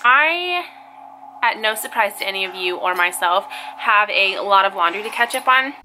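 A woman's voice: medium pitch, animated, normal speed, bright, forceful tone, slight background noise, low-quality recording. A woman in her twenties with a cold and a nasal voice, chatting casually to a handheld camera at home as a family vlogger, full of fillers. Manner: chatty, filler-heavy, playful baby talk. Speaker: family vlogger, twin mom. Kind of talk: casual vlog chat to camera. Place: living room at home. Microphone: handheld camera close to face.

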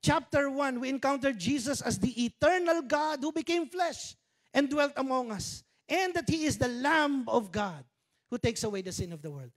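A man's voice: extremely high pitch, expressive, moderate pace. A Filipino man in his fifties preaching a sermon on a church stage, conversational, with joking Tagalog asides, speaking into a handheld mic. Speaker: pastor. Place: church stage with dark curtains.

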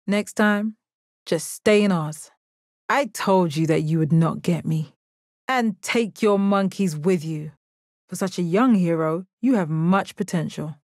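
A woman playing a stoic, confident, commanding gem warrior in a video game, with a measured, deadpan delivery. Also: lowish voice, dry recording, clean studio sound. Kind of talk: video game character battle lines.

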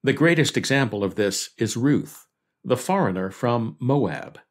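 Male devotional host, mid-pitched, measured earnest read, scripted scripture reflection. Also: lively intonation.

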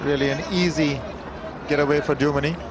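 A man's voice: on the high side, brisk. A male cricket commentator describing play and replays on live TV. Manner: exclaims at wickets, then explains calmly. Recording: loud crowd and noise, outdoor broadcast. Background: loud crowd and ground noise.

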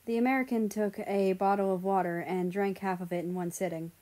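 A woman reads fan fiction aloud as a narrator. Her voice is mellow and soft-edged, sitting at a middling pitch as she tells the story. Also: steady tone, a little fast, rough recording. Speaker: audiobook reader of fan fiction.

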